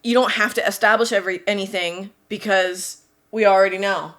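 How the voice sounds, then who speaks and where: Female podcast co-host, medium pitch, very brisk, informal conversational delivery. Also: fairly even intonation, a bit bright. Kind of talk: casual chat.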